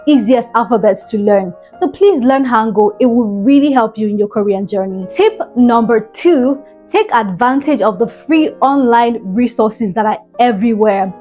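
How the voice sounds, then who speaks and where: A woman's voice: on the high side, darkish tone. A young woman vlogger giving earnest, encouraging study tips straight to camera, often repeating words for emphasis. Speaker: language-learning vlogger. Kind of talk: tips vlog, direct to camera.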